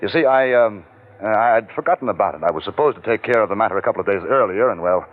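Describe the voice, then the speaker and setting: An actor playing a smooth-talking but nervous young office manager hiding a theft, in a tinny old radio recording. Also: male voice, fairly low pitch, lively intonation, racing, close to an everyday voice. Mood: scheming. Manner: smooth-talking, hesitant when cornered. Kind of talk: 1940s radio acting.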